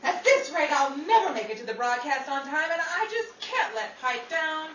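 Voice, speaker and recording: Actress as bossy 1930s radio newswoman, high swooping voice, wisecracking, scatterbrained, comedic radio play. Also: big pitch swings, moderate pace, a bit bright. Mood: indignant. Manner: brash, exclamatory, wisecracking. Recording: roomy distant mic, noisy audience hall.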